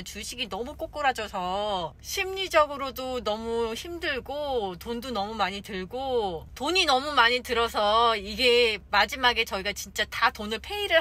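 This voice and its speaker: A woman's voice: fairly high pitch, bright, forceful tone. Chatty woman vlogging from her car. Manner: chatty, exclaiming and sighing about costs. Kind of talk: casual vlog chat. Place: car interior and shops. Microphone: phone camera at arm's length.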